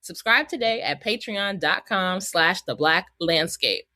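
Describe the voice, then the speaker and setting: A woman's voice: fairly low pitch, lively intonation, a little slow, sharp tone, no room sound. An American woman hosting a podcast in a chatty, informal way, speaking straight to her listeners. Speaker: podcast host. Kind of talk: casual podcast hosting and interviewing.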